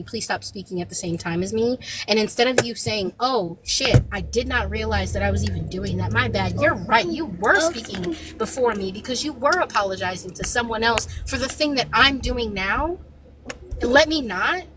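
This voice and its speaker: Woman panelist, soft voice, higher-pitched, firm lecturing tone, noisy phone audio. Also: lively intonation, moderate pace. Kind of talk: heated panel debate.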